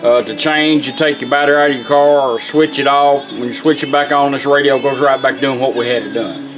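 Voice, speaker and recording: A man's voice: mid-range voice, steady tone, a little fast. A middle-aged man with a Southern American accent giving a casual, plainspoken product demonstration in a folksy way. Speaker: radio restorer and product demonstrator. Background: music playing.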